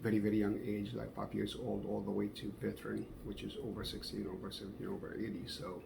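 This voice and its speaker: Man from Egypt, middle-aged, low voice, gravelly, halting speech with many fillers, home recording, faint hiss. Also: completely flat delivery. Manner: halting, many fillers, non-native grammar. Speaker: fencing coach sharing opinions. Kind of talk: casual talk to camera.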